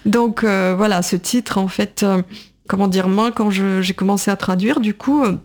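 A woman in her sixties talks as a guest in a radio studio interview. She is thoughtful and hesitant, often pausing on fillers and starting her sentences again. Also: medium pitch, darkish tone. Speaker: guest, translator and writer.

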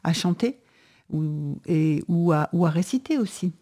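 A woman hosting a conversational interview, feeling her way through halting, rambling questions that she often leaves unfinished. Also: lowish voice, expressive, mellow. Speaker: interviewer, host.

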